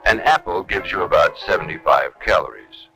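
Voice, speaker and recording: A man's voice: low-pitched, animated, moderate pace, poor audio. An American man reading scripted narration for a vintage educational film. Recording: 1970s educational film soundtrack, faint music.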